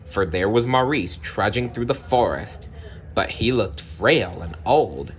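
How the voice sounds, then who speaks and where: A man reading a storybook aloud as a narrator, in a dramatic, deliberate way with pauses. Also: on the low side, lively intonation. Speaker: storybook reader.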